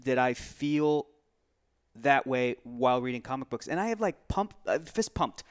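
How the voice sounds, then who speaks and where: A man's voice: medium pitch, lively intonation, normal speed, smooth. A man in his thirties co-hosting a casual, unscripted film review, gushing and repeating himself for emphasis. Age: 30s. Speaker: film review show co-host.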